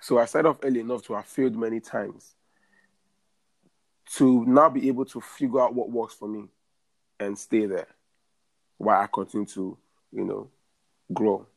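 A man's voice: mid-range voice, creaky, poor audio. A man in his thirties, a guest in an interview, giving earnest career advice and often repeating words as he builds a point. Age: 30s.

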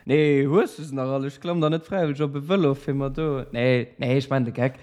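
A man's voice: medium pitch, steady tone, fairly quick, darkish tone. A man with a Luxembourgish accent joking and teasing in a casual podcast chat.

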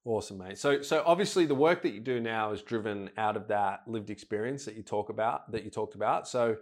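A man's voice: lowish voice, a little fast. A man hosting a podcast, chatting casually with a blunt, sweary, enthusiastic manner. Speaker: podcast host. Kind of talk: casual interview chat.

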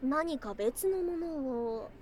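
A woman voicing a doting, excitable young lamia bride in an ASMR voice drama, speaking in archaic, old-fashioned phrases with girlish excitement. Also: high voice, slow pace, slight background noise. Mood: playful, curious. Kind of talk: ASMR situation voice drama, addressed to the listener.

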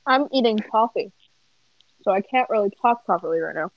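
A woman's voice: mid-range voice, animated, brisk, dry recording. A young woman on a group call with friends, laughing often and explaining things patiently. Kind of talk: casual chat. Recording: dull muffled computer mic.

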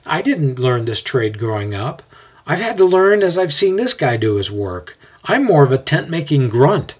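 A man's voice: medium pitch, sing-song, normal speed, husky, pitched above the performer's own voice. A man narrating a story voices a modest, joking male apprentice tradesman with a casual, self-deprecating manner. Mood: wry.

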